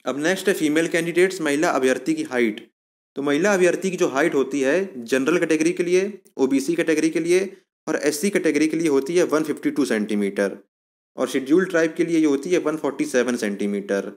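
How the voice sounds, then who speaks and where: A man's voice: a little high, a little fast. A man giving an informal explanatory lesson as an exam coaching presenter, going step by step and repeating himself to recap.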